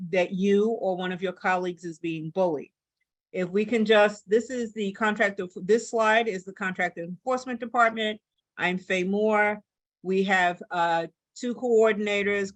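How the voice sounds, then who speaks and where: A woman speaks as a staff presenter at a workshop. Her pitch sits in the middle for a woman, and she restarts phrases while pressing her points emphatically. The sound is dry, with little echo.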